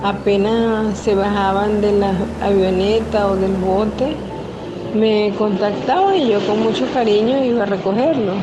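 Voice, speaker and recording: An older woman, a retired nurse from the Venezuelan Amazon, calmly narrating her own life story in the first person. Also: mid-range voice, a little slow, noisy background. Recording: dull lo-fi voice-over with music. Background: music.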